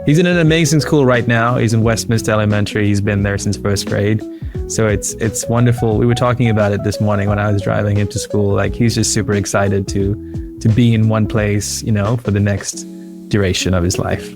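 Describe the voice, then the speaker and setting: A man in his early fifties, originally from Sri Lanka, speaks as a guest in a casual podcast conversation. His voice sits on the low side. He is close to a desk microphone, with guitar music clearly playing behind him. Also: fairly even intonation, fairly quick. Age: early 50s. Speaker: interview guest, founder. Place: living room at home, indoors. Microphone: close desk microphone on a video call.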